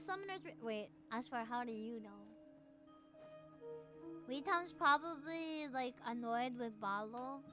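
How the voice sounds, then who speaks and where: A young adult woman with a Filipino accent, chatting casually and playfully with viewers as she livestreams a game, slangy and expressive. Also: high-pitched. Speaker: livestreamer playing a video game. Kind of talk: casual chat with stream viewers and reading game dialogue aloud. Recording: music playing behind the voice.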